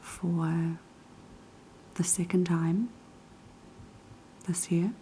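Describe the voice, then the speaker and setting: Young NZ woman, raspy post-sickness whisper, slow ASMR delivery, dark mellow tone. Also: on the low side, level tone, slight background noise. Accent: New Zealand. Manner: soft, unhurried whispering with many ums. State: hoarse after being sick. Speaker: ASMR creator. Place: kitchen of a quiet flat.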